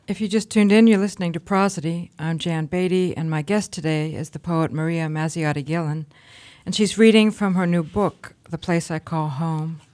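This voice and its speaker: A woman's voice: fairly low pitch, steady tone, moderate pace, a little mellow. A woman hosting a radio show, introducing a guest and asking questions in a plain, conversational way. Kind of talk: radio interview.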